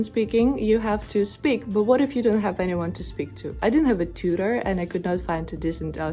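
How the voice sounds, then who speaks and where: Russian-accented woman, vlogger to camera, earnest and encouraging, mid-pitched, faint music under. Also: a little mellow. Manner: earnest, encouraging, direct address to viewers. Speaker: language-learning vlogger. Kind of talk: motivational talk to camera.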